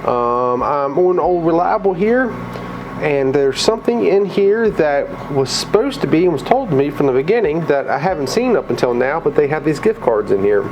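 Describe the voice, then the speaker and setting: Guy with a cold vlogging at a coin pusher, kinda raspy and mumbly, noisy arcade behind him. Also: fairly high pitch, normal speed. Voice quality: slightly mumbled from a lozenge. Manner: chatty, excitable at wins. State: has a cold, slightly raspy and tired. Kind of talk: casual vlog commentary.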